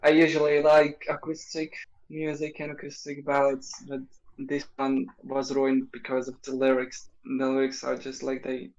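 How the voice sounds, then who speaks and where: A man co-hosting a casual podcast chat, hesitant and halting, chuckling between thoughts. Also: mid-range voice, monotone, muffled recording. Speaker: podcast co-host.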